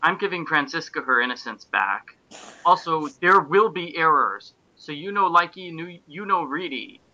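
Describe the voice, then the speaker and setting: Very bright, higher-pitched man, lo-fi. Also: expressive, normal speed. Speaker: fan fiction podcast host.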